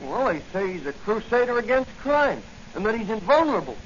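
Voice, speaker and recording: Eager rural lad, high and very lively, naive, folksy, vintage radio serial. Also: male voice, fairly quick. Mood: awed, friendly. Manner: folksy, naive, rustic grammar. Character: eager, folksy country boy who catches rattlesnakes. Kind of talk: 1940s radio acting. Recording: dull, noisy 1940 radio recording.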